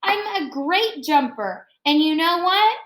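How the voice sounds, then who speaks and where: A woman voicing an excited, proud young boy from a storybook, eager and a bit boastful. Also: very high-pitched, takes it slowly, reverberant, voice pushed up, exaggerated voice.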